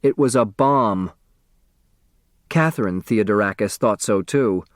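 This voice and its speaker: A man narrating a children's mystery novel as an expressive audiobook reader who acts out the dialogue. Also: fairly low pitch, unhurried, no room sound, clean studio sound.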